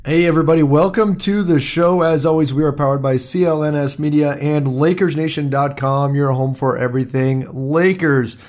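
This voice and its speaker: A man hosting a solo podcast, talking straight to his listeners in a conversational way, hoarse from a cold. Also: fairly high pitch, fairly even intonation, normal speed, few pauses, a little mellow. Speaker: podcast host. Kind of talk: solo sports podcast monologue.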